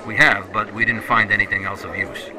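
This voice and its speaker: Male actor, helpful caller, fairly low pitch, animated, matter-of-fact, obliging, audio drama, noisy crowd background. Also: a little fast, close to an everyday voice.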